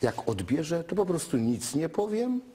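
A whimsical, theatrical romantic. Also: male voice, on the high side, very animated, measured pace, warm tone, breathy edge, heavily acted. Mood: playful. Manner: playful, theatrical, sing-song asides. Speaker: narrator and lovestruck caller. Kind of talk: radio drama acting.

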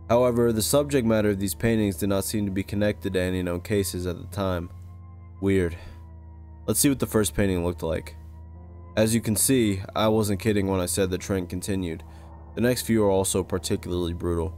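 Low-voiced man narrating over music. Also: dark tone. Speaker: video essay narrator. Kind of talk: scripted narration. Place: close-recorded voice-over with music behind.

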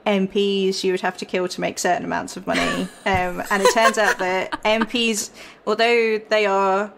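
A woman's voice: medium pitch, level tone, moderate pace, vocal fry, fairly bright tone, a little hiss or hum. A woman historian talking casually as an interview guest.

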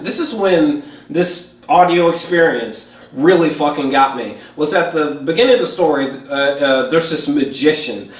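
A man's voice: on the high side, a bit bright. A man in his twenties giving a casual, emphatic, profanity-laced reaction review to camera. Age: 20s. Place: small home kitchen, indoors. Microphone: camera a few feet away. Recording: echoey kitchen, distant camera mic.

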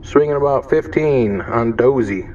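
A man's voice: medium pitch, a little slow, a little mellow, dull audio. A man giving a casual hands-on demonstration in a folksy, plain-spoken way, recorded on a handheld device inside a vehicle. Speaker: vlogger demonstrating CB radio gear. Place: inside a parked vehicle cab. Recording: handheld recording with car cabin noise.

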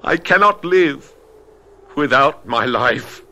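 A man's voice: medium pitch, expressive, slow pace, bright, forceful tone. An actor playing a cruel, brooding, passionate man in a theatrical, menacing and anguished radio drama style. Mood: anguished rage. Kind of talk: 1970s radio drama acting.

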